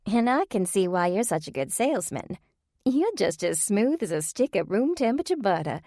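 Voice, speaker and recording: A woman's voice: medium pitch, very animated, normal speed, dark tone, dry recording, clean studio sound, voice pushed up. A woman voicing a coquettish, teasing office secretary with a drawling manner.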